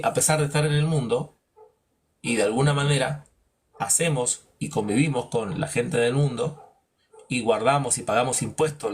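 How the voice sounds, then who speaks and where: Male teacher of scripture, emphatic and rhetorical, even middle-pitched voice. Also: breathy edge. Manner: rhetorical, acts out imagined dialogues; emphatic, drops low on verdicts. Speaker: Bible teacher. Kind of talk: preaching-style Bible lesson.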